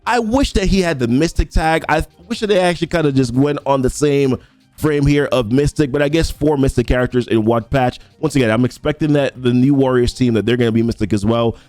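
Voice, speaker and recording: A man's voice: mid-range voice, brisk, a little mellow, no room sound, faint music behind. A young adult man giving casual commentary on a mobile game update. He reads ability text aloud and rambles with frequent restarts. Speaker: mobile game content creator. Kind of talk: casual game commentary reading patch notes.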